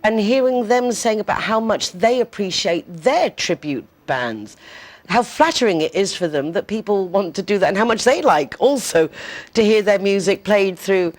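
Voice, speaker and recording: A woman's voice: mid-range voice, lively intonation, normal speed. A woman hosting a TV chat show, effusive and enthusiastic as she interviews her guest. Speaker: TV show host.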